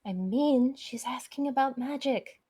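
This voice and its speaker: A woman voicing a florist's casual, excitable daughter in a visual novel, with a conspiratorial manner. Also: high-pitched, measured pace, breathy edge, voice pushed up. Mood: conspiratorial excitement.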